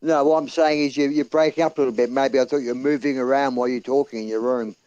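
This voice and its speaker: A man's voice: medium pitch, steady tone, fast talker, a little mellow, no echo, dull audio. A man with an Australian accent co-hosting a casual chat, talking bluntly and saltily and cutting in often. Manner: blunt, salty, cuts in often.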